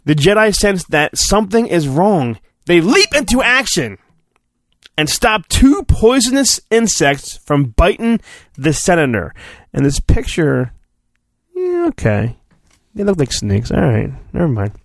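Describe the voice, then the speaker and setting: A man's voice: high-pitched, very animated, unhurried, dry recording, clean studio sound. A man hosting a podcast, giving a comic, mock-earnest dramatic storybook reading with chatty asides and his own sound effects.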